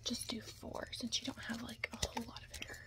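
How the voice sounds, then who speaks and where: A young woman doing an ASMR roleplay in whispers and soft talk, chatting casually and reassuringly close to the mic. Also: on the high side, monotone, brisk. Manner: casual, chatty, reassuring makeup artist patter.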